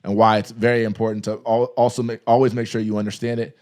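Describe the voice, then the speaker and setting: Male podcast host, fairly low voice, easygoing advice-giving chat. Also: flat delivery, fast, a little mellow. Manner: advice-giving, talks through contract examples at length. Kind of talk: casual interview chat.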